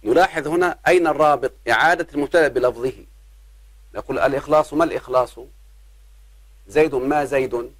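A man, teaching an Arabic grammar lesson. He talks patiently, addresses his students as his children and slows down on examples. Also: medium pitch, dry recording. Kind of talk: grammar lesson explaining verse.

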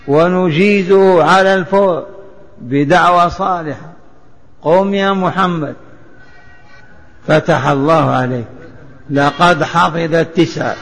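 A man's voice: fairly high pitch, fairly bright tone. A man teaching a religious lesson, chanting the text in a sing-song and having it repeated. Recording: long hall reverb.

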